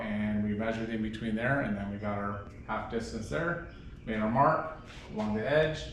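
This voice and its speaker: A man's voice: medium pitch, fairly bright tone. Echoey, off-mic man doing DIY. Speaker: DIY garage host. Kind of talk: casual hands-on project walkthrough. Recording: echoey, distant mic, faint background noise.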